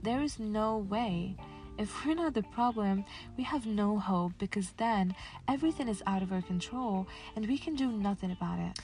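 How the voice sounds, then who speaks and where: Female podcast host, early 20s. Earnest, encouraging motivational read, mid-pitched, over background music. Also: moderate pace, darkish tone. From Casablanca, Morocco. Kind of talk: scripted motivational podcast narration.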